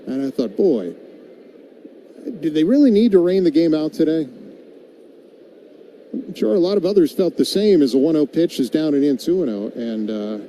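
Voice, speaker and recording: A male baseball announcer with a very mellow, dark tone and a slightly high pitch. He moves between live play-by-play and wry conversational commentary, over faint background ambience. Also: lively intonation, normal speed, long pauses, a little hiss or hum. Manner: wry, tongue-in-cheek.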